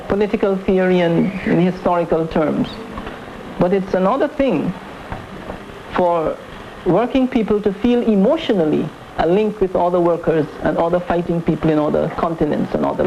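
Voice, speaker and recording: An interviewee explains and reminisces in a reflective, halting way, as in a documentary. The voice sits at a middling pitch. The old seventies film sound is dull, with faint noise. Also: creaky, dark tone. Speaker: interviewee recalling political activism.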